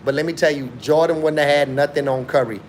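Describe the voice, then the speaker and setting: Young man, livestream host, emphatic and repetitive, slangy, fairly high voice, faint background hiss. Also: fast. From born in Chicago, raised in South Africa. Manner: emphatic, repetitive, slangy talk with frequent restarts. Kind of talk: casual live-stream chat.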